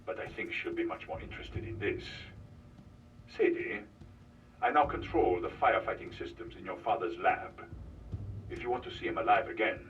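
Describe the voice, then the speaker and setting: An actor playing a smug, corrupt commissioner, sinister and taunting, heard through a radio call filter in a game drama. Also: male voice, deep voice, lively intonation, normal speed, slight background noise. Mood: smug, threatening. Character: menacing, smug male villain, corrupt commissioner. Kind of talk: video game audio drama acting. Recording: dull, distant radio call.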